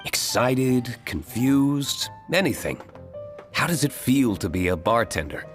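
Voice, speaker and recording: An actor playing a serious, reserved young nobleman in a video game dub, calm and measured. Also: medium pitch, faint music behind.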